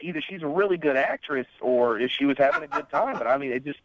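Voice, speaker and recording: A man's voice: mid-range voice, expressive, fast talker, background noise. A man calling in to a radio show by telephone, casually recounting things in an earnest, slightly hesitant way. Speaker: phone-in caller. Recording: dull, lo-fi phone line.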